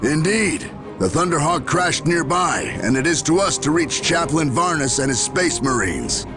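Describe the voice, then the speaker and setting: A man playing a weary, skeptical and devout general in a game cutscene, speaking in grave, measured military phrases. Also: a little high, sing-song, music in the background. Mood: resolute. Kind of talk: scripted game cutscene acting.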